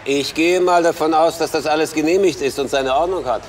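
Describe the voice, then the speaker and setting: An actor playing a hesitant, polite police detective in a naturalistic radio drama. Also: male voice, on the high side, fairly quick, far from the mic, slight background noise, lightly acted. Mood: polite, official.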